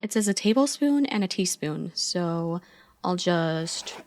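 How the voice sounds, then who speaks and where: A woman's voice: lowish voice, animated, measured pace. A woman playing an affectionate, playful girlfriend in an ASMR roleplay, chatty, teasing and supportive as an eager but clumsy baker. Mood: warm, focused.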